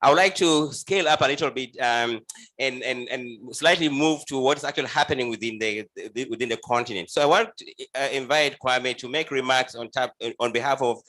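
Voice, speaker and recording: A man from Uganda leads a panel discussion in a bright, forceful voice. He stumbles and repeats words as he hands over to the next speaker. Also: mid-range voice, brisk. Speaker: panel moderator.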